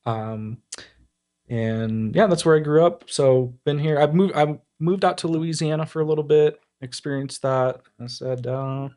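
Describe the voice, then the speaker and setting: Male guest musician, casual and easygoing, halting short replies. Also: fairly high pitch, dark tone. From Colorado. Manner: easygoing, hesitant, short answers. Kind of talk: casual interview chat.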